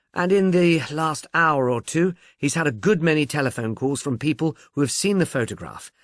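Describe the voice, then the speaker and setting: A sceptical, exasperated policeman , played by a man reading a novel speaks with grudging precision. The intonation is highly animated and the recording is close and dry. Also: no room sound.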